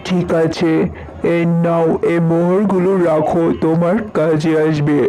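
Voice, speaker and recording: Flat-toned, commanding monster king acted by a man. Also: on the high side, unhurried, natural voice, rough recording. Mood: commanding, generous. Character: gentle, lonely male monster king of a palace. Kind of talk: Bengali fairy tale cartoon dubbing.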